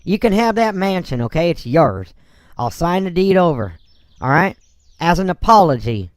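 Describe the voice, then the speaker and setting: A man plays a businessman and dad in a Minecraft roleplay. The voice is high and swoops freely, clean and close. He comes across folksy and blunt, with courteous, hesitant turns. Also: very animated, normal speed, no room sound. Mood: regretful. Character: folksy male Texan businessman, ashamed father, blunt but courteous.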